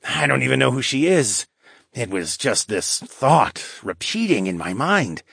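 A man voicing a formal, haughty lynx demi-human in a fantasy audiobook, with wordy, measured phrasing. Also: medium pitch, big pitch swings, creaky, fairly bright tone, breathy edge, no room sound, studio quality, voice pushed up, exaggerated voice. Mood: puzzled, recalling.

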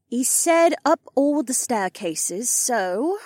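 A man voicing a blunt, impatient young woman with a sharp-tongued, pushy way of talking. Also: very high-pitched, big pitch swings, slow pace, no echo, clean studio sound, pitched above the performer's own voice, full character voice. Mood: brisk.

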